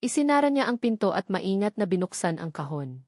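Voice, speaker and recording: A woman's voice: mid-range voice, very animated, brisk, a little mellow, no room sound, clean studio sound. A woman reading a scripted dramatic story as its narrator.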